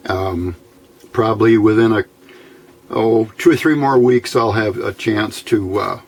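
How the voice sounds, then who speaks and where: A man giving an unscripted technical explanation as a hobbyist, halting and deliberate, often clearing his throat. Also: lowish voice. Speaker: amateur radio hobbyist presenter.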